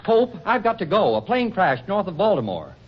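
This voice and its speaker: A man's voice: high voice, very animated, normal speed, breathy edge, exaggerated voice. A man acting in a 1940s radio comedy as a wry, teasing newspaperman bachelor with a dry, bantering, theatrical delivery. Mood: urgent, alarmed. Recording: dull vintage 1940s radio recording.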